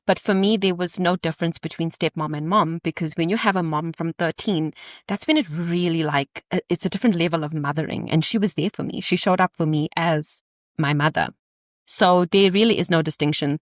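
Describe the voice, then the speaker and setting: A woman's voice: lowish voice, brisk, no echo, studio quality. A woman in her thirties, a podcast guest, telling candid stories in conversation with playful asides and easy laughter.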